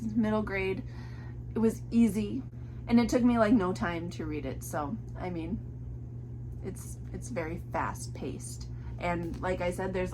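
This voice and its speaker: Young woman, casual book vlogger, cold in her voice, chatty and filler-heavy; noisy recording with hum. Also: fairly low pitch. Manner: chatty, rambling with many fillers. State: fighting a cold. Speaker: book reviewer. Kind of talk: casual book review vlog.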